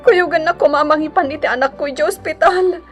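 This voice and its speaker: A very high-pitched, urgent voice with an Ilocano accent. It is a desperate mother acted by a woman in a radio drama, tearful and pleading, with faint music behind her. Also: brisk, close to an everyday voice.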